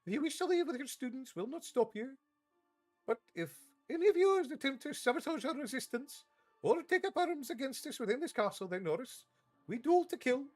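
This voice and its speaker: Male narrator putting on imperious elderly witch, high voice, clipped commands. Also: expressive, a little fast, faint music behind. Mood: stern. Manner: clipped, imperious, shouting orders. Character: stern elderly female witch professor, commanding.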